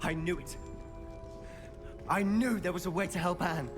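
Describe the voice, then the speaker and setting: A man's voice: high-pitched, moderate pace, music in the background, natural voice. A man playing a defiant, urgent teenage schoolboy with a British accent in a video game. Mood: excited, insistent. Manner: urgent, insistent, persuasive. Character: driven, defiant teenage schoolboy desperate to help Anne. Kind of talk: dramatic video game acting.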